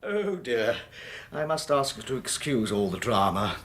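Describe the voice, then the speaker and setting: An actor playing a falsely courteous villain in a radio drama, husky and oily, with over-polite menace. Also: male voice, mid-range voice, sing-song, unhurried, a bit bright. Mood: falsely apologetic.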